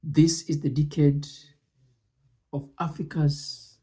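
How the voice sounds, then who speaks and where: A man presenting and commenting on speech excerpts in a deliberate, measured way, often pausing between short phrases. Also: fairly high pitch, level tone, dark tone.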